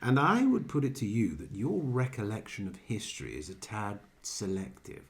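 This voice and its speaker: A man's voice: fairly low pitch, big pitch swings, normal speed. A man playing a smug, patronising political leader in a satirical radio play, with a glib, pompous manner. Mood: smug, condescending. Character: smug, flippant British male political leader, breezy and patronising.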